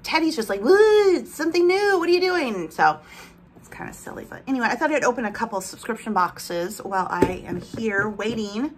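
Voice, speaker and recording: A woman's voice: on the high side, big pitch swings, a little fast, slight background noise. Chatty woman with a slight lisp. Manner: rambling, talks while handling products. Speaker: vlogger. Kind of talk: casual chatty vlog, unboxing. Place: bedroom at home, indoors.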